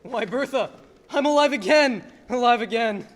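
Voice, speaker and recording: A young man playing an excitable, desperate family man in a radio play, recorded in an echoey hall. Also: very high-pitched, moderate pace. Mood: jubilant. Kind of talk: filmed radio play acting, high school production. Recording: echoey hall, faint noise.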